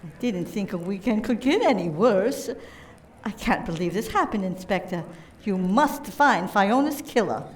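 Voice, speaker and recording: A woman's voice: mid-range voice, lively intonation, moderate pace, close to an everyday voice. A woman playing a spoiled, haughty rich daddy's girl in an amateur radio play, theatrical and whiny. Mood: dismayed.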